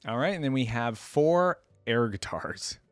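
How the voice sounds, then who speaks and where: A man's voice: mid-range voice, lively intonation, a little slow, clean tone, no echo, soft background music. A man hosting a reaction video, giving casual off-the-cuff commentary as he goes.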